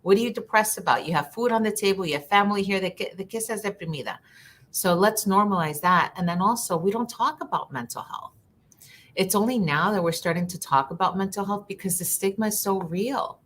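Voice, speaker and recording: A woman's voice: medium pitch, brisk, a bit bright, a little hiss or hum. A woman from Ecuador, a social worker, talking earnestly in a live conversation and often repeating words while she thinks.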